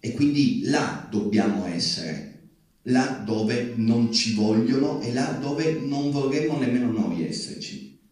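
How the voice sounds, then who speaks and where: A man's voice: medium pitch, lively intonation, slow pace, a little mellow. A man giving an earnest, emphatic public speech from a stage, building in short repeated phrases. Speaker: activist speaker. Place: live theatre stage. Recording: echoey hall, distant microphone.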